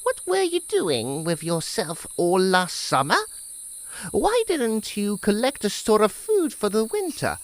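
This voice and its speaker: A man's voice: high voice, huge pitch swings, measured pace, pitched above the performer's own voice, heavily acted. A man voicing smug, hard-working ants in a fable, scolding and mocking as they speak.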